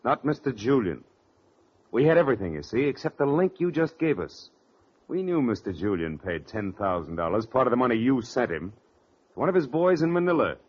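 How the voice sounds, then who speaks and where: An actor playing a hard-boiled, taunting government investigator in a 1940s radio drama, clipped and tough. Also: male voice, medium pitch, very animated, brisk, slightly rough. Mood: coolly triumphant. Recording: dull vintage radio recording.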